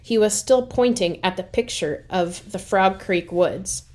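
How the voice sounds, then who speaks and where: Female read-aloud storyteller for children's chapter books: mid-pitched, expressive, with dramatic pauses. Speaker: reader of a children's chapter book.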